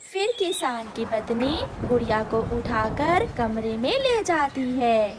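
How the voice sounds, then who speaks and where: A woman tells a scripted children's cartoon story as narrator. Her voice is high and her pace is slow. Clear ambient noise runs behind the narration. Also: sing-song.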